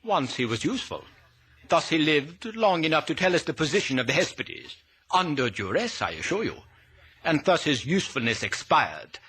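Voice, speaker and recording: Smug, theatrical radio-play villain: high-set, extremely animated delivery, florid and formal menace. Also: male voice, moderate pace, slightly rough, exaggerated voice. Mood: coolly menacing. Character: smug, menacing, mannered male crime villain. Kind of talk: 1950s radio drama acting.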